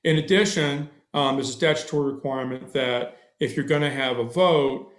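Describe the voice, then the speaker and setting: A middle-aged man explains points of law to a board over a headset. His voice is mid-pitched and sounds a little echoey on the video call. He is thorough and long-winded, and fills pauses with "um". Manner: thorough, slightly long-winded, many fillers. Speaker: attorney answering board questions.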